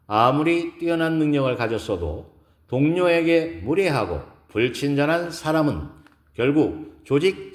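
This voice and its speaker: A man's voice: a little high, pitched above the performer's own voice. Man reading a poised, earnest lecturer, roomy echo. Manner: formal, deliberate, rhetorical. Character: poised, earnest woman executive giving an inspirational lecture. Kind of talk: scripted audio drama speech.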